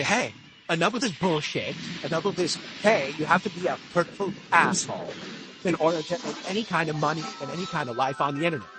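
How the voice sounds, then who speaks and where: A middle-aged man streaming from his home room into a desk microphone, talking casually and slipping into an angry, swearing rant. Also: a little high. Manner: talkative, ranting, swears freely. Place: home room with window and fans. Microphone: desk microphone at normal distance.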